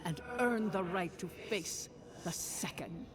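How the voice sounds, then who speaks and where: An actor playing an ancient, solemn guardian spirit of a fallen people in a video game, speaking formally and with measured gravity. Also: medium pitch, a crowd in the background, close to an everyday voice. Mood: commanding. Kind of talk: scripted dramatic game narration. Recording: game music behind. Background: clear background music.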